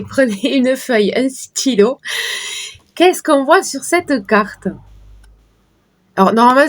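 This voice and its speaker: Hoarse woman reading cards aloud. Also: fairly high pitch. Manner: chatty, talks to viewers while shuffling cards. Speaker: card reader. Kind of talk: casual card-reading livestream talk.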